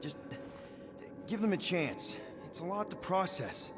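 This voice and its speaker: An earnest young spacefaring team leader from a video game. He sounds flustered and informal, stumbling over words in a fairly high, very lively voice. Music sits behind him. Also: measured pace, long reverb tail. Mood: nervous pleading. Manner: stammering, informal, pleading. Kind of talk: video game cutscene acting.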